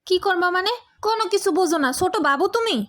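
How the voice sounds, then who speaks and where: A woman's voice: extremely high pitch, animated, a little fast, a bit bright. A woman voicing a touchy, quarrelsome young wife in a comic cartoon, with a Bangladeshi accent. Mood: indignant nagging. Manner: nagging, quarrelsome. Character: touchy, moody young wife, quick to argue.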